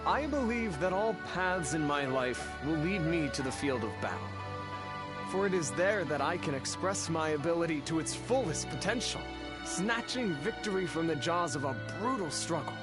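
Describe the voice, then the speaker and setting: A man acting a philosophical warrior general from a video game. His delivery is measured and dramatic, with lively, sweeping intonation. Very loud music plays behind him. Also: a little high, natural voice. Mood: earnest. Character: theatrical, philosophical male warrior general who loves beauty. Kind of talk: scripted video game dialogue.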